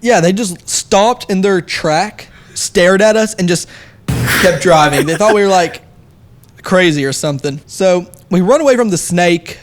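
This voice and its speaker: A man's voice: sits high, measured pace, a little hiss or hum. A young man telling a story casually as a podcast guest, emphatic and given to exaggeration. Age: young adult.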